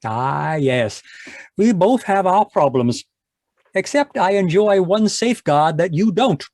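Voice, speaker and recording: A man's voice: sits high, lively intonation, takes it slowly, darkish tone, no room sound, clean studio sound, heavily acted. A man acting and announcing in the manner of an old-time radio drama.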